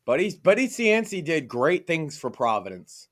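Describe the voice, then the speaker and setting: A man's voice: on the high side, very animated, normal speed. A man from Massachusetts co-hosting a podcast, talking casually, with a profane, emphatic and opinionated manner. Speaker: podcast co-host.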